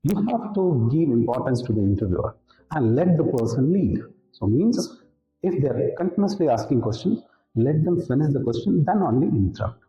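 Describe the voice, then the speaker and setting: A man's voice: medium pitch, sing-song, moderate pace, warm tone, highs cut off, soft background music. A man with an Indian accent giving an instructional talk as an interview coach, didactic and repeating key points for emphasis. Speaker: interview skills coach, presenter. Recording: roomy, echoing room.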